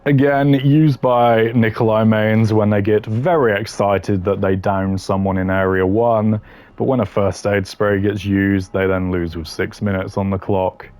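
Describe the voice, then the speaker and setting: A man's voice: lowish voice, little pitch movement, unhurried, a little mellow. A man giving casual, opinionated gaming commentary in a blunt, dismissive way with dry humour. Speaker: gaming content creator ranking voice lines.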